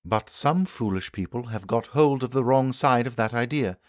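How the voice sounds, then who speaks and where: A man voicing an eager, assured Victorian gentleman inventor lecturing his guests in an emphatic, declamatory way, as in an audiobook. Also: mid-range voice, normal speed, no room sound, muffled recording, voice pushed up, close to an everyday voice. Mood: eager explaining. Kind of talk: audiobook character acting.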